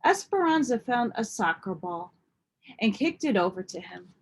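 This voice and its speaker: A woman reading a children's storybook aloud in expressive narration. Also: medium pitch, normal speed, a little hiss or hum. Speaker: storybook reader.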